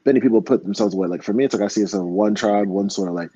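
Fast-talking earnest young man, low dark voice. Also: breathy edge, dry recording, dull audio. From United States. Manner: earnest, rambling with many restarts and "man". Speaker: professional fighter. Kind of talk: casual interview talk.

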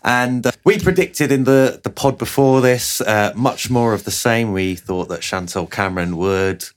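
A man hosting a casual boxing podcast, his voice hoarse from a sore throat and fading, joking at his own expense. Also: mid-range voice, moderate pace. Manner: self-mocking, joking. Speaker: podcast host.

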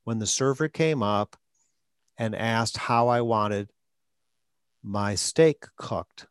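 A man's voice: on the low side, slow, smooth, no echo. A man hosting a podcast as a stuttering coach, talking in short phrases with an occasional stutter block. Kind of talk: conversational coaching talk.

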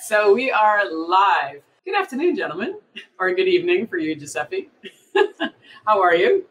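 A woman's voice: medium pitch, very animated, measured pace, fairly bright tone, soft background music, rough recording. Upbeat woman podcast host, laughing easily. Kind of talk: interview hosting.

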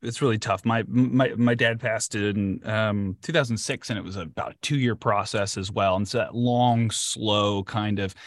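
A man's voice: lowish voice, steady tone, a little slow, hardly any pauses, no echo. A man hosting an interview, asking long, thoughtful questions that ramble a little. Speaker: interview host. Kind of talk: casual interview talk. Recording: dry close sound.

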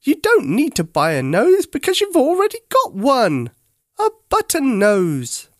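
Storybook toy monkey, kindly and reassuring, raised pitch with lively swings performed by an adult narrator. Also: unhurried, dry recording, studio quality, heavily acted. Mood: encouraging. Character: kindly, encouraging toy monkey, male friend.

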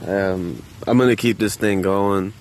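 A man talking to himself in a park in a quiet, subdued, hesitant way, with many restarts and casual swearing. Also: deep voice, fairly even intonation, measured pace, a little hiss or hum. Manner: loose and conversational with casual swearing. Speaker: vlogger. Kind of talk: casual solo monologue. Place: outdoors in a park.

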